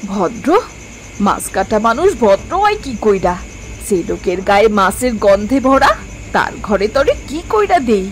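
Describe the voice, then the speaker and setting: A woman's voice: medium pitch, big pitch swings, a little slow, a little hiss or hum. A woman playing a strict, worried village mother in a cartoon, sarcastic and scolding. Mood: scornful scolding. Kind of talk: dramatic cartoon voice acting.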